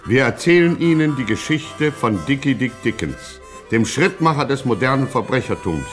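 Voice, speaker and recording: An actor playing a grandiloquent narrator of a gangster satire, speaking in a pompous, mock-solemn way. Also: male voice, mid-range voice, lively intonation, moderate pace, over music, lightly acted. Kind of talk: scripted satirical radio narration.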